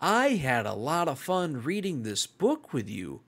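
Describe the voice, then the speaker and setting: A read-along host reading aloud for young children in a deliberate, exclamatory and animated way. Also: medium pitch.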